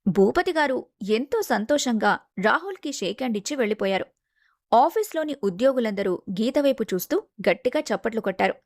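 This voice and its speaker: A woman's voice: on the high side, animated, fairly quick, dry recording, clean studio sound. A woman reading scripted narration for a family story.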